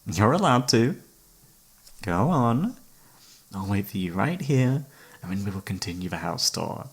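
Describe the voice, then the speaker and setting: Man playing low, creaky obsessive killer, teasingly polite. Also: very animated, unhurried, full character voice. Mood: calm, coaxing. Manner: teasing, mock-polite, theatrical, savouring words. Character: obsessive, flirtatious male serial killer holding a detective captive. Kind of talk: ASMR roleplay acting. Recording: background music under the voice.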